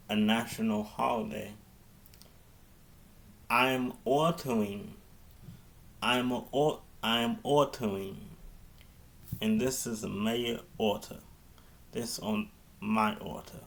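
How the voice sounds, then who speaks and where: A man giving an improvised public address as a mayor, halting and often repeating and restarting his phrases. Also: on the low side, vocal fry.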